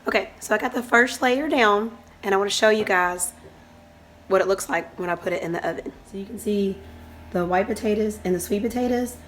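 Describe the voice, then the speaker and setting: A woman with a hoarse voice from a cold, casually walking through a home cooking recipe step by step in her kitchen as the channel's host. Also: mid-range voice, a little fast, fairly bright tone, a little hiss or hum. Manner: chatty, explains step by step.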